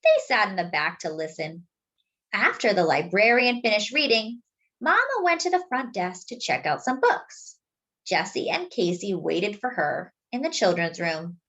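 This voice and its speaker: A woman reading a picture book aloud to children expressively, adding simple explanatory asides in a deliberate way. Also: mid-range voice, very animated, a bit bright, muffled recording. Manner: deliberate, explanatory for young listeners. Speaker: children's story reader.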